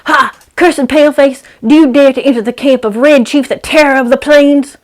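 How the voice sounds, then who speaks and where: Woman as a loud, high-pitched rambunctious little boy. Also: fairly even intonation, natural voice. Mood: boastful, menacing. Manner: rapid random blurting, childish grammar. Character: rambunctious chattering ten-year-old boy, bold and boastful. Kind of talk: dramatic storybook reading.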